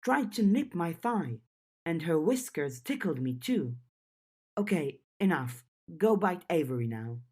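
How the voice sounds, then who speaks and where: A woman's voice: fairly low pitch, big pitch swings, a little slow, darkish tone, slightly rough, exaggerated voice. A woman voicing a blunt, restless teenage girl with a wry streak in an audiobook. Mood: exasperated. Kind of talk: audiobook character dialogue.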